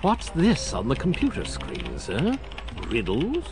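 An actor playing a proper, wry butler in a children's audio drama, formal in manner, in a reverberant sound. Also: male voice, mid-range voice, wildly swooping pitch, slow, music in the background, exaggerated voice.

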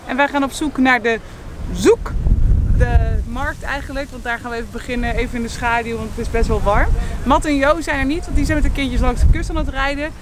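A woman's voice: sits high, steady tone, brisk. A woman in her thirties talking chattily to a camera she holds at arm's length outdoors, explaining her travels. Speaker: vlogger. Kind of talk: casual travel vlog. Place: outdoors, sunny campsite. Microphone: camera held at arm's length. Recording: noisy outdoor camera audio.

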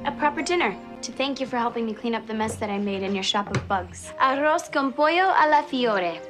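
A young actress playing an earnest, polite teenage princess in a family movie clip. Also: female voice, a little high, expressive, a little fast, over music, close to an everyday voice.

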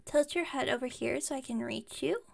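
A woman's voice: high-pitched, moderate pace, creaky, full character voice. A woman playing an enthusiastic, scatterbrained young witch shopkeeper in an ASMR roleplay, chatting and rambling to the listener. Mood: friendly, rambling. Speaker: voice actor in audio roleplay. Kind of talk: ASMR roleplay, one-sided conversation with listener.

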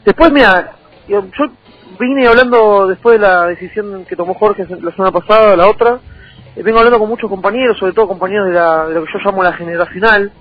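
Argentine guy w rioplatense accent, kinda husky, repeats words, radio interview, muffled sound. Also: high voice, a little fast, faint music behind. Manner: hesitant phrasing, often repeats words. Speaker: political candidate being interviewed.

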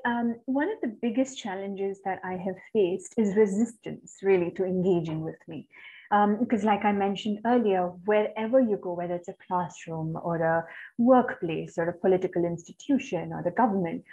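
A soft-voiced woman from India, answering a webinar question in an earnest, reflective, articulate way over a video call. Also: medium pitch. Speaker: guest speaker. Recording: slightly dull video-call audio.

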